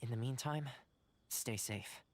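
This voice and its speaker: A man voicing a calm, courteous, quietly guarded young ally in an anime video game, explaining things in a composed, measured way. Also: mid-range voice, lightly acted. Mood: reassuring, cautious. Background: soft game music.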